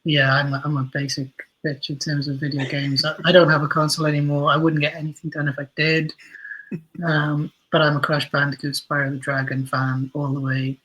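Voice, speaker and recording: A man's voice: a little high, completely flat delivery, brisk, a bit bright, a little hiss or hum, rough recording. A man talking casually as a podcast guest about creating an audio drama, chatty and self-deprecating, often restarting his sentences.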